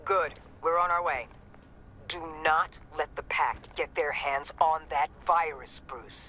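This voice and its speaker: A stern agency chief for a video game, heard over a muffled radio. Her delivery is forceful, curt and authoritative. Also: low-pitched, unhurried. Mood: stern command. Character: stern, commanding female government agency chief. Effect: radio filter. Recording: dull radio link with faint noise.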